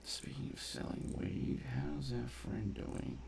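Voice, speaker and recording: A man talks through a game in a casual, unhurried way, with a lively, swinging pitch. His voice often drops into a creaky fry as he mutters asides and reacts aloud. There is some background noise behind him. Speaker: gamer narrating a playthrough. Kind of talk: casual gameplay commentary.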